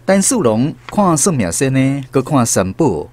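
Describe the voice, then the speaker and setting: A man with a Taiwanese Hokkien accent narrating a scripted radio story in an expressive storyteller's style. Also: mid-range voice, unhurried.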